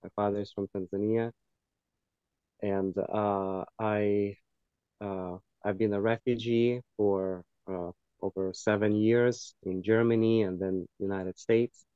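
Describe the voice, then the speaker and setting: A man from Ukraine, a guest on a talk show, talking calmly with many fillers. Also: lowish voice, slow pace, clear, resonant tone, dry recording, phone-quality sound. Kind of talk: casual interview chat.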